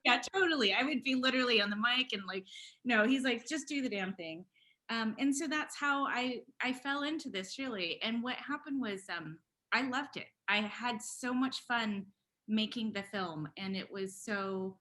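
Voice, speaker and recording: A woman's voice: on the high side, moderate pace, bright, forceful tone. A woman filmmaker talking casually and candidly on a panel, animatedly quoting herself and others as she tells her story.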